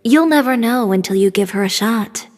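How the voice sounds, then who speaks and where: Lady voicing a frank composed young game heroine, high voice, lots of swing, faint music under it. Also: normal speed, a little mellow, in a large hall, natural voice. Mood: assured. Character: confident young woman, boutique owner, frank and composed. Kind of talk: English video game voice acting.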